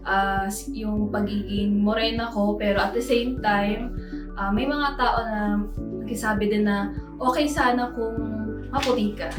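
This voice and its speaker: A woman's voice: medium pitch, fairly even intonation, moderate pace. A woman in her twenties with a Filipino accent, speaking mostly Tagalog in a firm way, with some hesitant fillers. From Philippines. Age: 20s. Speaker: interviewee. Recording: distant echoey mic with music bed.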